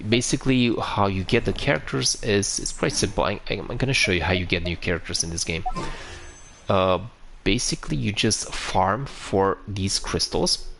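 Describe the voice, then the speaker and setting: A man's voice: lowish voice, animated, moderate pace, vocal fry, fairly bright tone, slight background noise. A man from Romania with a Romanian accent, chatting casually on a live stream, answering viewers and explaining a game with frequent restarts.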